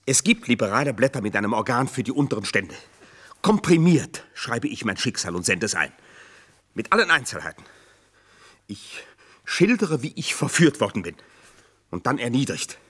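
An actor playing an aggrieved ageing manservant in a radio drama, husky and theatrical, with stilted formal phrasing and a self-pitying temper. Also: male voice, mid-range voice, very animated, normal speed, pauses often, fairly bright tone. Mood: defiant, aggrieved. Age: older.

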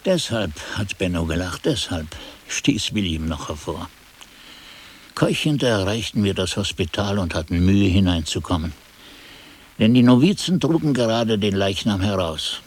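An actor playing a monk chronicler, narrating his memories in a grave, measured way for a German radio drama. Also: low voice, lively intonation, breathy edge.

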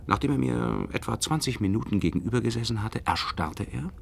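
A man's voice: mid-range voice, lively intonation, fairly quick, gravelly, a little hiss or hum. An actor playing an earnest, courteous doctor in a radio play, telling his story politely and eagerly. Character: earnest, courteous male doctor recounting a mystery. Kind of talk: German radio play acting.

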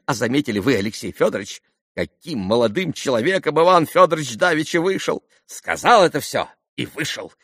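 A man voicing a gushing, excitable society lady, a fussing mother who talks in effusive, melodramatic repetitions, in an audiobook novel. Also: medium pitch, brisk, dry recording, studio quality, pitched above the performer's own voice. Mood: rapturous. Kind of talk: audiobook classic novel dialogue.

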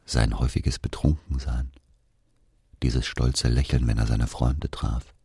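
Male actor as brooding bereaved brother, extremely low voice, measured, solemn, literary drama monologue. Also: fairly even intonation, vocal fry, warm tone, breathy edge, full character voice. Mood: somber. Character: brooding Algerian man recalling his murdered brother.

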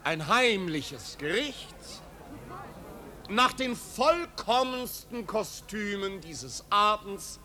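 An actor playing a snobbish, pompous marquis who ceremoniously hosts a lavish party in a 1950s German radio play. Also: male voice, high-pitched, sing-song, extremely slow, sharp tone, full character voice. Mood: pompous, festive. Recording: 1950s radio recording, noisy crowd. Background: noisy party crowd.

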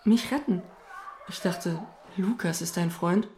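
A woman's voice: on the low side, measured pace, a little mellow, a little hiss or hum, natural voice. A woman voicing a cheeky, self-assured schoolboy who teases and talks boldly. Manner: cheeky, teasing, brash. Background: music.

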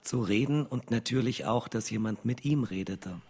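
A man narrating a literary radio play as a sober storyteller in a measured, restrained manner. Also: mid-range voice, darkish tone, natural voice.

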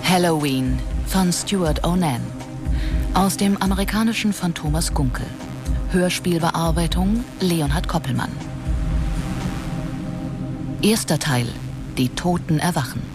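A woman's voice: on the low side, measured pace. A woman reading formal scripted credits as an announcer. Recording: studio announcement over music.

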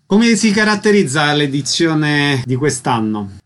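A male radio host with a slightly high voice. He presents and interviews in concise, clipped announcements and short questions. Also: big pitch swings, measured pace, a bit bright.

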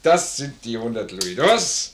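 A man's voice: medium pitch, very animated, a little slow, slight background noise. An actor playing a loyal, hearty soldier in a 1950s German radio play, plain-spoken and deferential to his officer. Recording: roomy 1950s radio studio recording.

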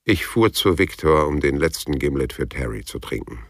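An actor playing a terse, sardonic, loyal private detective in a German radio crime drama, with a dry, laconic delivery. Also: male voice, a bass voice, expressive, normal speed, heavily acted. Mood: wry, melancholy. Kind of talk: German radio crime drama, dialogue and first-person narration.

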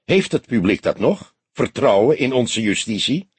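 A man's voice: mid-range voice, big pitch swings, measured pace, slightly rough, no room sound, heavily acted. A man voicing a grizzled older detective in an audiobook, dry and stubborn, with a laconic, mocking manner. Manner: laconic, sardonic. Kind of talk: audiobook character voice.

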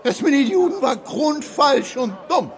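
An actor playing a loud, mocking tavern regular who rants in a radio play. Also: male voice, very high-pitched, very animated, measured pace, full character voice. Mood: ranting. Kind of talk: German radio play acting.

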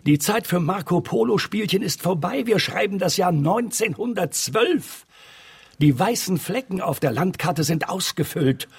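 An actor playing a gruff, pompous middle-aged newspaper editor in a radio drama, raspy and easily exasperated. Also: male voice, high voice, animated, unhurried, exaggerated voice. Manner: exasperated, pompous, opinionated, stammering in thought. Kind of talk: German radio drama acting.

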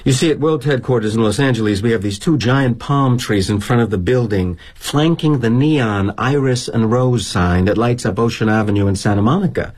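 An actor playing a weary, sardonic middle-aged corporate executive, speaking in a wry, confiding monologue. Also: male voice, mid-range voice, darkish tone, husky. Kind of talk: theatrical monologue.

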